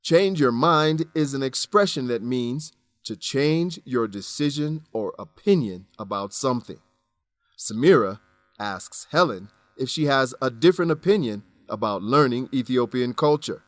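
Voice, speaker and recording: A man narrates a scripted English lesson in a deliberate, instructional way. His voice is mid-pitched, and the sound is very dry, with no room echo. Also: animated.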